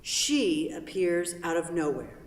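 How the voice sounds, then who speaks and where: Echoey hall, far from mic, medium-pitched voice, scripted narration, stage directions. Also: animated, slow.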